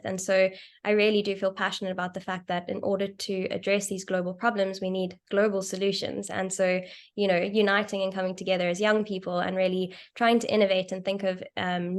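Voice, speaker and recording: Young South African woman, panelist; steady, earnest, monotone, a few ums. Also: mid-range voice, gravelly. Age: young adult. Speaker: panelist, medical doctor. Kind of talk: panel discussion.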